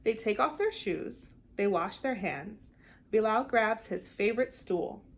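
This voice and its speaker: A woman reads a children's picture book aloud with an animated, deliberate storytelling delivery. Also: medium pitch, breathy edge. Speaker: storybook reader.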